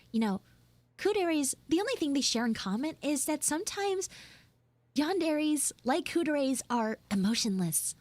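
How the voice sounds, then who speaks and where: High-pitched woman, dramatic livestream roleplay chatter. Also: a little mellow, no echo. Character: tomboy tsundere schoolgirl, flustered and dramatic. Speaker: VTuber livestreamer doing audio roleplay. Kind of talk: improvised in-character livestream chat.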